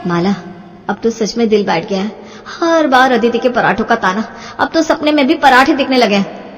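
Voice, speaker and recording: A woman's voice: fairly high pitch, animated, moderate pace, natural voice. A woman voicing an earnest, put-upon young daughter-in-law in a moral-story cartoon. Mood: dejected. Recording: long hall-like reverb.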